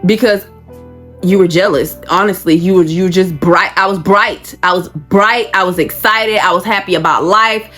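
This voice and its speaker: A woman's voice: fairly low pitch, normal speed, fairly bright tone, faint music behind. A woman in her thirties telling a painful personal story in a blunt, emotional way, swearing freely. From Georgia, USA. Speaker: vlogger.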